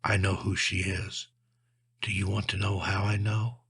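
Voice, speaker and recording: A man voicing an unhinged, secretive soldier in a horror story, speaking softly and conspiratorially with menace. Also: deep voice, little pitch movement, a little slow, gravelly, exaggerated voice. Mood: quiet menace.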